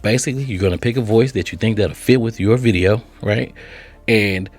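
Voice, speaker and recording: A man with a fairly low voice hosts a casual step-by-step tutorial. He is chatty and instructional, often tagging sentences with quick check-ins. Music plays faintly behind him. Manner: chatty, instructional, frequent check-in tags like right and okay. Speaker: host of software tutorial channel.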